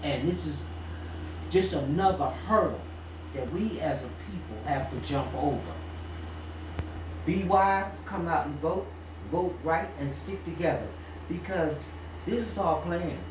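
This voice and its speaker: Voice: mid-range voice, reverberant, recorded from a distance, noisy background. A radio talk show co-host speaking emphatically, with an exhorting, preacher-like cadence. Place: radio studio.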